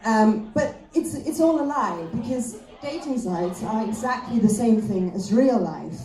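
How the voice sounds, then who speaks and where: An echoey live recording of a woman comedian on stage. She from her German and a fairly high, soft-edged voice. Her delivery is conversational and self-deprecating, with halting pauses. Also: lively intonation. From Austria. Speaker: stand-up comedian. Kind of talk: live stand-up comedy routine.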